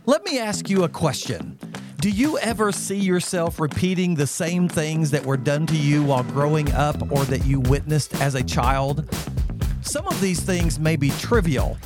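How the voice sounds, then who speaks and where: A man's voice: a little high, lively intonation, normal speed, music in the background. A man hosting a podcast interview, earnestly asking a guest probing questions. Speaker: podcast host.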